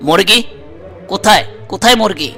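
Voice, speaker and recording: A woman voicing a caring young guardian in a children's cartoon, coaxing and gently teasing, with a Bangladeshi accent. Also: medium pitch, lively intonation, normal speed, loud music behind the voice, close to an everyday voice. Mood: puzzled, teasing.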